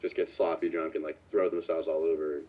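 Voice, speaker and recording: A man's voice: a bass voice, flat delivery, brisk, narrow, tinny audio. A young man, an anonymous student, rambling in an interview with many "like"s.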